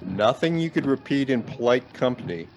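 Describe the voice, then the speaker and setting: A man acts out a male traveller stranded in a snowstorm, in a naturalistic radio play. His pitch swings widely, and he is anxious yet wry and self-deprecating. There is faint noise of the storm around him. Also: lively intonation, moderate pace, vocal fry. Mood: wistful, wry.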